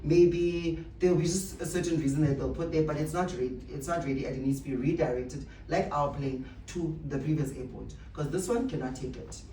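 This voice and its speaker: Motivational speaker, mid pitch, roomy echo, mic a bit far off, repeats points for emphasis. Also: brisk, hardly any pauses, mellow. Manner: emphatic, rhetorical questions and repetition. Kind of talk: motivational speech to staff. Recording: echoey room, distant mic, faint noise.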